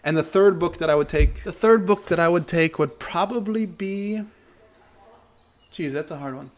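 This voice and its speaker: Casting: young male host, non-native English speaker, slightly higher voice, easygoing Q&A with fillers and self-corrections. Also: normal speed. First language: not English. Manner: relaxed, thinks aloud with fillers and self-corrections. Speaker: channel host answering viewer questions. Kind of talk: casual Q&A talk to camera.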